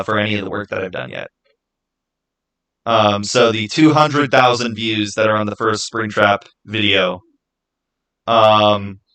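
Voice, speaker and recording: A man chatting casually to viewers as a livestream host, earnest and rambling, with halting starts and repeated phrases. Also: mid-range voice, sharp tone, rough recording. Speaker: streamer, channel host.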